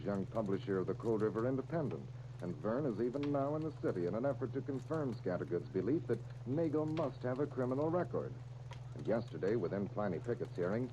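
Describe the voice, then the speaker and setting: A man's voice: on the low side, background noise. An American man reading scripted narration as a radio announcer, with polished, emphatic 1930s delivery. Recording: muffled 1939 radio recording, music behind.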